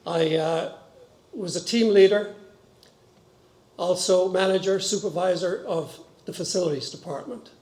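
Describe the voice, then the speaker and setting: An elderly Irish man in his seventies gives a personal speech from a podium. His voice is fairly high for a man and carries a Dublin-born speaker's Irish accent. The hall around him is noticeably echoey. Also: unhurried, pauses often, darkish tone. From Dublin, Ireland. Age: 70s. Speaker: board candidate giving a speech. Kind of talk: personal introduction speech to an audience. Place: large meeting hall with stage, indoors. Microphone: podium gooseneck microphone.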